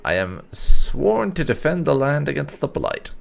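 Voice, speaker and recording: A man hosting a casual let's play with light roleplay, explaining things in a wordy, measured way. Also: fairly high pitch, sing-song, gravelly. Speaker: gaming YouTuber, let's play host.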